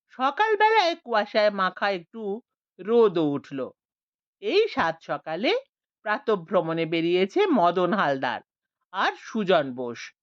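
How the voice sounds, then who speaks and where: Expressive woman story narrator. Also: medium pitch, no echo. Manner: expressive, dramatic reading. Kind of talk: audiobook storytelling. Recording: dry, slightly muffled lo-fi.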